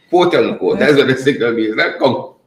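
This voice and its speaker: A man's voice: mid-range voice, roomy sound, slight background noise. A man co-hosting a casual current-affairs chat, joking and laughing as he slips into dialect. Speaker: co-host.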